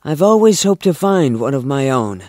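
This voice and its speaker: A man playing a kind, polite and shy young suitor in an audio drama, gentle and earnest. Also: mid-range voice, big pitch swings, normal speed, dry recording, clean studio sound, close to an everyday voice.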